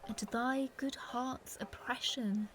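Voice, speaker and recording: A woman's voice: fairly high pitch, fairly even intonation, slow, a bit bright, soft background music. A woman playing an earnest, peacemaking young noble in a Shakespearean audio drama. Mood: concerned. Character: Benvolio, concerned peacemaking young noble kinsman.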